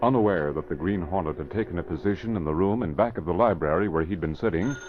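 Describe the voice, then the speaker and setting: A man's voice: sits low, quick pace, natural voice. A man narrating a radio mystery dramatically, in scripted 1940s style. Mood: dramatic. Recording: muffled old radio recording.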